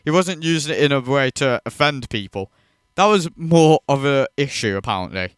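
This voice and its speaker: A man's voice: medium pitch, animated, normal speed, clean tone, no room sound, studio quality. A man hosting a reaction video, ranting in disbelief and swearing freely as he mocks what he watches. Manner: incredulous, profane, mocking.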